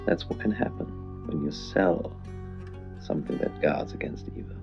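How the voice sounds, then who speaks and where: An actor playing an eerie, theatrical male host of a spooky late-night radio show, speaking deliberately. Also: a bass voice, vocal fry, darkish tone. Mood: eerie, showy. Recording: dull lo-fi radio sound over music. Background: background music.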